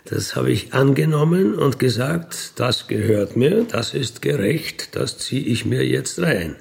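A man speaks in a creaky, gravelly voice at an average pitch. He recounts things in loose everyday speech, blunt and matter-of-fact, often tacking emphatic little questions onto his statements. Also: expressive, measured pace, a little mellow. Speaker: ex-convict telling his experiences. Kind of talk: colloquial spoken monologue, recounting.

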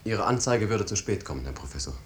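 An actor playing an earnest, troubled but resolute lawyer in a 1950s German radio drama, with formal, solemn, measured speech. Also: male voice, expressive, lightly acted. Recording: noisy 1950s radio recording with rumble.

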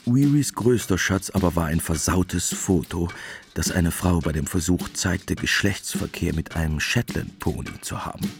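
An actor narrating a war story in a German radio play as a measured storyteller, in a dry, matter-of-fact manner. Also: male voice, deep voice.

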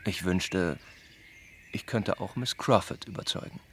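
An actor playing an earnest, courteous young gentleman bound for the church, speaking formally in a radio drama. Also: sits low, a little slow, vocal fry, slight background noise, exaggerated voice. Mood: gallant. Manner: formal, courteous period speech.

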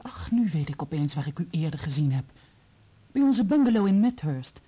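A candid, composed divorcee in a radio play. Her voice is warm and dark, with a breathy huskiness. She speaks deliberately and asks her questions in a measured, probing way. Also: low-pitched, big pitch swings, exaggerated voice. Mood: candid, reflective. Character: composed, candid divorced woman, late lover of a knight. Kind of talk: Dutch radio play acting.

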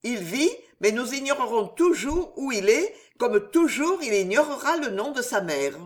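Imperious queen, icy then raging, lifted pitch and volume; read by an audiobook narrator. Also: very animated, normal speed, close to an everyday voice. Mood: cold. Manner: raises pitch and volume, snapping and roaring in anger. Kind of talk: audiobook character reading.

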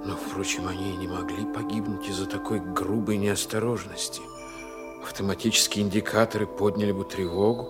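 A man plays a male starship crewman in a space audio play. He has a low voice and a factual, dutiful way of reporting, with music clearly heard behind. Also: fairly even intonation, a little fast, natural voice. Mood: measured, factual. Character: matter-of-fact male crewman reporting planet readings. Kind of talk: science-fiction audio drama acting.

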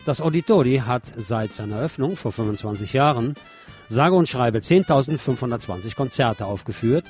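A man's voice: medium pitch, lively intonation, moderate pace, darkish tone. A man reading scripted radio news and commentary in a wry, editorializing way. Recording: music bed under the voice.

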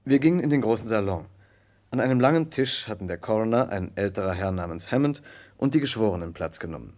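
An actor playing an earnest young American lawyer who narrates a murder case in a measured, precise way in a vintage German radio play. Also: male voice, fairly low pitch, animated, darkish tone, close to an everyday voice. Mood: measured, grave.

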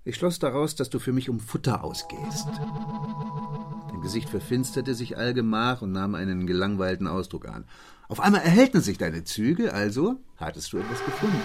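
Radio play casting: male actor as a conceited, world-weary detective, male, lowish voice, condescending lecturer, faint music behind. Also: close to an everyday voice. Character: bored, conceited male detective, a Sherlock Holmes parody. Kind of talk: German radio play acting.

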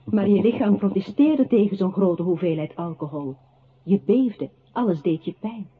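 A solemn, quietly accusing female narrator in a radio play. The voice is husky at a middle pitch, phrasing each line deliberately. The sound is narrow and phone-like. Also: animated. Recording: muffled phone-like old radio recording.

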